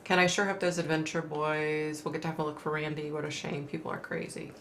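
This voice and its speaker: A middle-aged woman hosting a casual livestream from home, reading viewer comments aloud and reacting in a chatty, rambling way while her voice goes hoarse. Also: deep voice, flat delivery, a little fast, fairly bright tone, roomy sound, slight background noise. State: losing her voice.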